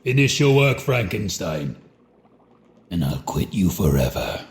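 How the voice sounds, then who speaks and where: A gravelly male monster in an audio drama, low and brooding. He speaks very slowly and solemnly, commanding and menacing, yet aching for love. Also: sing-song, full character voice. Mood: menacing, demanding.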